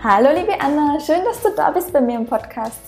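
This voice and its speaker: A woman from Austria welcoming listeners and her guest to a podcast. She speaks with an upbeat, enthusiastic lilt in a slightly high voice. Music runs clearly under her talk. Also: lively intonation, brisk. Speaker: podcast host. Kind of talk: podcast interview.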